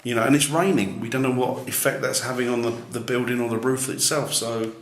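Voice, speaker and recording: A man with a loud, booming voice, musing skeptically while ghost hunting in a large building at night. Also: steady tone, a little fast, a crowd in the background. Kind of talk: casual on-location chat. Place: large echoey building, a former jail, at night. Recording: echoey room with faint noise.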